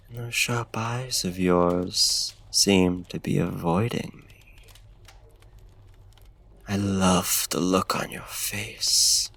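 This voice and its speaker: A man playing a suave, predatory ancient vampire in an intimate ASMR roleplay, with formal archaic phrasing, deliberate pauses and breathy drops toward a whisper. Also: low voice, fairly bright tone, heavily acted. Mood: quietly menacing.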